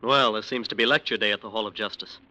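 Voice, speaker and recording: An actor playing a smooth-talking, defensive lawyer in a 1940s radio drama. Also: male voice, fairly low pitch, fast, bright, forceful tone. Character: defensive, self-justifying male lawyer.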